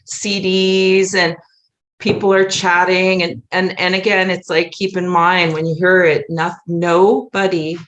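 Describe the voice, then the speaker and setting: A woman's voice: lowish voice, little pitch movement, unhurried, a bit bright. A woman chatting casually as an interview guest, emphatic and repeating herself to make a point. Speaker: guest, theatre director. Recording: roomy echo on the voice.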